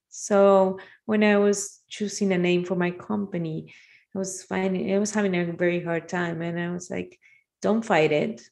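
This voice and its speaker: A middle-aged woman speaks as a podcast guest. Her mid-pitched voice has a noticeable creak, and she talks conversationally, as if telling her own story. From Argentina. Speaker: podcast guest, marketing agency owner.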